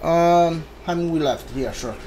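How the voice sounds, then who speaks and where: A man giving casual video game commentary in a halting way, stumbling over his words. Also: on the high side, warm tone, slight background noise. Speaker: gamer streaming a playthrough. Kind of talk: casual gameplay commentary, reading dialogue aloud. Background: game music.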